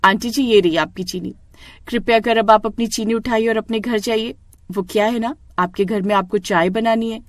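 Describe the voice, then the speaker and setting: A woman voicing an outspoken, protective young sister-in-law in a cartoon story, brisk, scolding and emphatic. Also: a little high, steady tone, no echo, lightly acted. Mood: firm.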